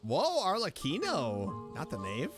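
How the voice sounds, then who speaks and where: A woman streamer with a low voice and lively, swinging intonation. She tosses out casual, sarcastic asides as she plays, with faint music behind. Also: unhurried. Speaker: streamer playing a story game. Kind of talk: casual live game reaction commentary.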